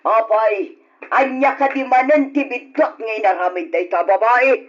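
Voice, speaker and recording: A woman's voice: fairly high pitch, normal speed, a little hiss or hum, lightly acted. A woman playing a teasing aunt talking with her husband, in a radio drama. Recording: dull lo-fi audio.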